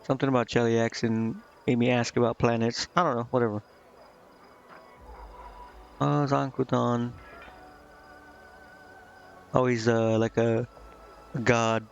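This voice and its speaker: A man casually commentating a game live and reading its text aloud, thinking out loud in a rambling way and stumbling over unfamiliar words. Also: mid-range voice, little pitch movement, measured pace, creaky, long pauses, lo-fi sound, soft background music. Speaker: game streamer.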